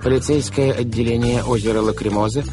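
A man's voice: mid-range voice, very animated, fast talker, over music, voice pushed up, lightly acted. A man voicing a stern, officious, flustered guardian in an audiobook, pompous and emphatic as he gives orders. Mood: stern, indignant. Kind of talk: theatrical audiobook character acting.

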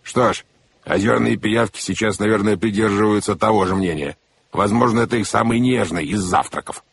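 A man voicing a boastful, gloating villainous count in an audiobook, with a growling, drawn-out, sneering delivery. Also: lowish voice, creaky, a little hiss or hum. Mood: sneering, mocking. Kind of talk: theatrical audiobook character acting.

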